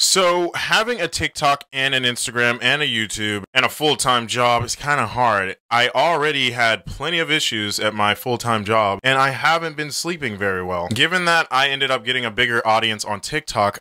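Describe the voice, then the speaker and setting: A man's voice: mid-range voice, sharp tone, smooth, no echo. A man narrating a casual, scripted storytime about himself in slangy, self-mocking words. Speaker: animator and online video storyteller.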